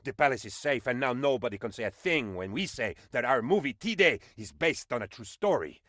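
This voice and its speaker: Guy doing a fawning bumbling dictator's sidekick for a video game, super animated, pitch all over, dry studio sound. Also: a little fast, no room sound, close to an everyday voice. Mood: confident, scheming. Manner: theatrical, fawning, swooping between excitement and dismay. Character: bumbling, excitable, obsequious male aide to a dictator. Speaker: game advisor character. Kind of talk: comic video game voice acting.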